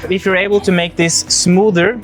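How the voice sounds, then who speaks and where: A man coaching a handstand session, calm and encouraging, explaining each step plainly. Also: sits high, normal speed, faint music behind.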